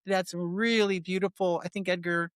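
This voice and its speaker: A woman hosts a casual podcast interview, eager and quick to react to her guest. Her questions come out loose and conversational. The sound is dry and close, with no room around it. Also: lowish voice, steady tone, no echo. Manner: enthusiastic, reacts with short interjections.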